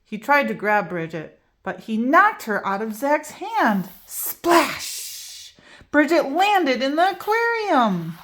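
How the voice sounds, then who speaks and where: A woman reading a picture book aloud for preschool children, slow and expressive. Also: high voice.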